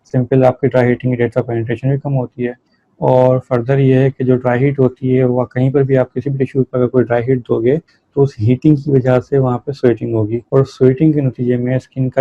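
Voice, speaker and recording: A man's voice: mid-range voice, phone-quality sound. A man lecturing in an explanatory, didactic way, mixing technical terms with plain explanation. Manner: didactic, reads terms out then explains them. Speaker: physiotherapy lecturer.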